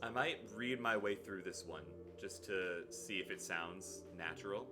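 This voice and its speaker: A man hosting a live stream, chatting playfully with sudden excited jumps in his voice. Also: deep voice, unhurried, music in the background. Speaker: livestream host. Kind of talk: casual live stream chat.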